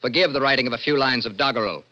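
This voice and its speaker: An actor with an American accent playing a cold, formal young lieutenant judge of a dystopian court, in 1960s radio drama style. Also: male voice, medium pitch, very fast, pushed and bright, lightly acted. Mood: cold, unbending. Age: young adult. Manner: cold, formal, clipped pronouncements. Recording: dull old-time radio recording.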